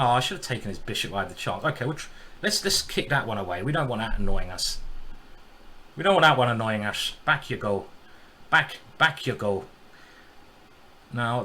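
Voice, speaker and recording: A man's voice: mid-range voice, bright, forceful tone, a little hiss or hum. A man giving casual, jokey live-stream commentary on his own chess game, greeting viewers as he thinks aloud.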